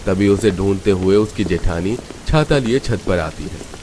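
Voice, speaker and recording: A man's voice: low voice, animated, normal speed, darkish tone. A man giving scripted narration for a cartoon family story.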